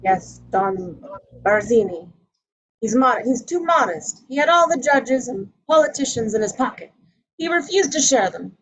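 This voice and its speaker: A woman playing a resentful male rival mafia don in a live table read, with a husky voice. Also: a little high, animated, moderate pace, fairly bright tone, rough recording. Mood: accusing.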